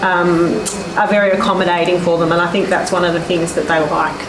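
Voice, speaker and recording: A woman teacher answering interview questions in a relaxed, thoughtful way, with frequent ums. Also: on the low side, a little fast, crowd noise. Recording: echoey room with background noise.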